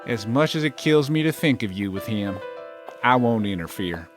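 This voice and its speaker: Actor as earnest estranged husband, expressive delivery. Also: male voice, mid-range voice, normal speed, music in the background, lightly acted. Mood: earnest, wounded. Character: estranged husband, outwardly conciliatory, secretly compliant schemer. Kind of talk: audio soap drama acting.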